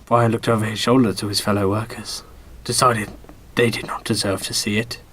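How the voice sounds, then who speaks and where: A man narrating a comic radio play as a podcast host, with dramatic, deliberate storyteller phrasing. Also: lowish voice, flat delivery, fairly bright tone. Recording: amateur podcast, clearly noisy background.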